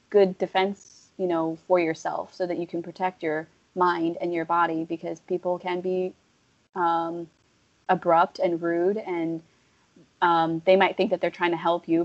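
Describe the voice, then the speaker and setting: Young woman rambling on, lots of likes and you knows, lowish voice, muffled audio. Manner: rambling, filler-heavy, animated when quoting others. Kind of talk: casual conversation. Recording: dull lo-fi audio.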